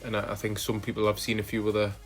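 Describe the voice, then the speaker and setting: A man narrating footage of his own rides as a cycling vlogger, relaxed and hesitant, with frequent fillers. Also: fairly low pitch, fast talker, soft background music. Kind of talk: casual voice-over narration of ride footage.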